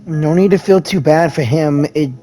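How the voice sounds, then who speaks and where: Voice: medium pitch, normal speed, dark tone, soft background music. A video game player giving casual commentary and speaking along with the story during a playthrough.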